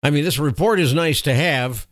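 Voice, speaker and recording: A man's voice: fairly high pitch, moderate pace, no room sound, studio quality. A man hosting a commentary podcast, recapping a report and then signing off with folksy, opinionated remarks. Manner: opinionated, folksy sign-offs. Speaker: podcast host.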